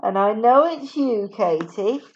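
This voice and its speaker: A woman's voice: on the high side, animated, measured pace. A woman playing a weary grown man who turns bossy and schoolmasterish. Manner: weary, then bossy and clipped. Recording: dull lo-fi recording.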